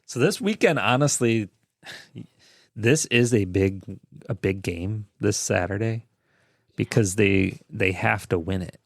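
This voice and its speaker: A man's voice: on the low side, animated, slow, creaky, no echo, clean studio sound. A man co-hosting a podcast, chatting casually about sports in short, loose, conversational phrases. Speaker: podcast co-host.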